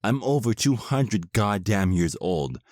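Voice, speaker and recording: A man's voice: fairly low pitch, big pitch swings, a little slow, darkish tone, no echo, clean studio sound. A man playing an exasperated, sarcastic vampire husband, centuries old but youthful, in a comedic audio roleplay. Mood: indignant. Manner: sarcastic, complaining.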